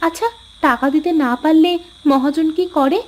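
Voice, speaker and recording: A woman playing a practical village wife in a cartoon folk tale, with an expressive, homely delivery. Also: sits high, moderate pace, lightly acted. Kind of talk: cartoon dialogue voice acting. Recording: music behind the voice.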